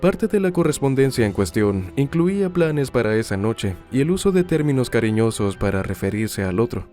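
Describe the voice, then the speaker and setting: A man narrating a scripted true-crime documentary in a formal, measured storytelling manner. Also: medium pitch, very animated, mellow, soft background music.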